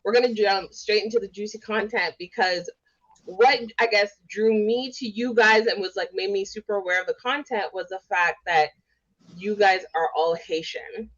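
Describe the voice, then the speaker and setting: A woman from Canada hosts a podcast conversation. Her voice sits at a middle pitch for a woman. The recording is dull and lo-fi. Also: moderate pace, fairly bright tone. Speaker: podcast host.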